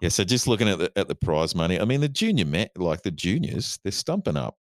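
A man's voice: low voice, very animated, fast talker, dry recording, clean studio sound. A man, co-hosting a casual podcast chat in an easygoing way. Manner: easygoing, reads out figures. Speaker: podcast co-host.

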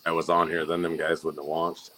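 A man with a very low voice talks in an easy, unhurried way, trading dry jokes in casual chat. He sounds like a guest telling a host about driving his pulling tractor. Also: level tone, bright, forceful tone, a little hiss or hum. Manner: laid-back, dry humor.

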